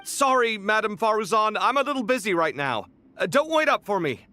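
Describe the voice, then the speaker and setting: A man's voice: high-pitched, big pitch swings, fairly quick, sharp tone, soft background music, exaggerated voice. An actor voicing a proud, harried young man in a video game, dramatic in his delivery. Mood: harried, apologetic.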